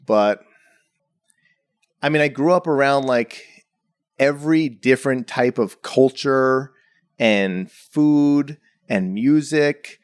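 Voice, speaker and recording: A man's voice: medium pitch, slow, long pauses, clean tone, no echo, clean studio sound. A young man from the Bronx, a painter chatting casually in an interview and filling his talk with "like" and "you know". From the Bronx, New York. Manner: rambling, heavy on "like" and "you know". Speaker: painter guest.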